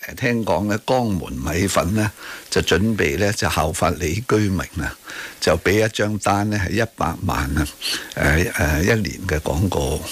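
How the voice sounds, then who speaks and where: A man's voice: sits low, lively intonation, moderate pace, a bit bright, husky. A man with a Hong Kong Cantonese accent hosting radio talk, arguing emphatically about current affairs with rhetorical tag questions and bits of English. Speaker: radio talk show host. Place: radio studio.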